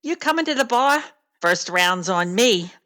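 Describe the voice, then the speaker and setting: An actress playing a wry, world-weary female stage manager in a radio play, brisk and sardonic. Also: medium pitch, animated, pushed and bright.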